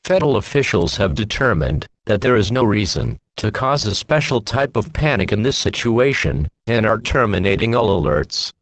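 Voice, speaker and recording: A man reading a formal, scripted emergency broadcast announcement in an official, deadpan manner. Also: fairly low pitch, normal speed, few pauses, no room sound.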